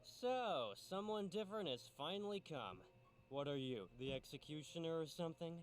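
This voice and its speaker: A man's voice: fairly high pitch, animated, moderate pace, natural voice. A man voicing a weary, defiant captive rebel, an anthropomorphic character in a visual novel, speaking warily.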